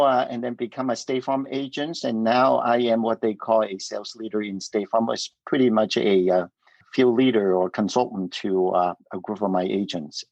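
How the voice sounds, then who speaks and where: Man from Vietnam, Cantonese native, middle-aged, halting speech, fillers, dry dull sound. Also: medium pitch, a little mellow, no echo. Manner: halting, many fillers and restarts, light laughs. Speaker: guest. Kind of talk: casual interview storytelling.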